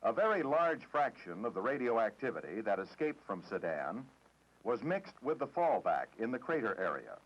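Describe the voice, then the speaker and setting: An American man reading a formal, measured, matter-of-fact script in the style of a 1960s government documentary narrator. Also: fairly low pitch, big pitch swings. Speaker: training film narrator. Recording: dull, dated 1960s film soundtrack.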